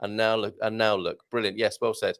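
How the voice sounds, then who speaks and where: A man's voice: lowish voice, flat delivery, a little fast, fairly bright tone. A man hosting a live chat show, joking around, laughing often and reading out viewer comments. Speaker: host.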